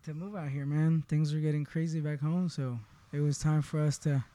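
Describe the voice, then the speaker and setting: Barbershop owner from Honduras, twenties, easygoing storyteller, smooth tone. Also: male voice, fairly high pitch, fairly even intonation, moderate pace, warm tone, no echo. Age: 20s. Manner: laid-back, often says "you know what I mean". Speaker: podcast guest, barbershop owner. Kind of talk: casual personal storytelling.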